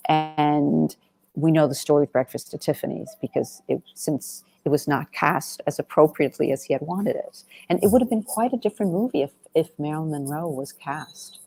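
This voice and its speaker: A woman film director answering interview questions in a reflective, earnest way, often halting and rephrasing as she goes. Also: sits low, vocal fry, warm tone, breathy edge, low-quality recording. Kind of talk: reflective Q&A conversation.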